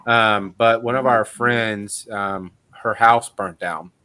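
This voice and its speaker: A man's voice: on the low side, steady tone, takes it slowly, bright, forceful tone, clear, resonant tone. A man in his forties hosting a casual livestream chat, telling rambling, self-deprecating stories over a call mic. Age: 40s. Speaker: host.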